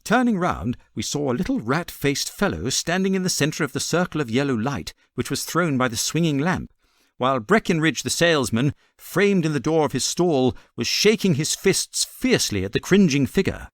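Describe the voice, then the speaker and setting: A British man reading a classic story as a literary narrator, in an expressive scripted style. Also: on the high side, moderate pace, no echo, studio quality. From Britain. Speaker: audiobook narrator.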